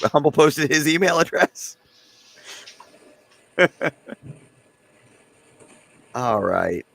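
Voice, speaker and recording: Hoarse man hosting a livestream. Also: a little high, sing-song, drawn-out words, fairly bright tone. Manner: loose and chatty, talking straight to viewers in the chat. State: hoarse, losing his voice. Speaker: host of a live gun channel stream. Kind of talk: casual livestream chat.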